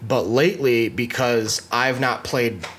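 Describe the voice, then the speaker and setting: A man, a musician, co-hosting a casual studio chat with animated joking and bits of improvised singing. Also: mid-range voice, a little slow, slight background noise. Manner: animated, jokey, breaks into short sung bits. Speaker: podcast co-host, musician.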